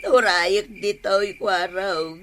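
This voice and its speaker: A woman's voice: medium pitch, takes it slowly, bright, forceful tone. A woman with an Ilocano accent playing a needy, pining older village woman in a radio comedy drama, pleading and plaintive.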